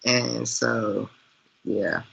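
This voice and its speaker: Husky, slow-talking man in casual discussion. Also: medium pitch, fairly even intonation, fairly bright tone, low-quality recording. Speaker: co-host of a film recap show.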